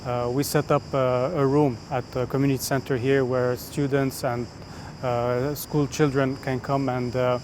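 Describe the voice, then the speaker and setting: Man from Lebanon, community committee member, steady and hesitant delivery, faint street rumble behind. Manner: steady, with frequent "uh" pauses. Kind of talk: interview testimony.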